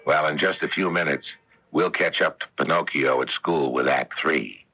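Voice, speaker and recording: A man's voice: very deep voice, moderate pace, loud music behind the voice, low-quality recording. A man hosting a 1970s radio program with scripted narration.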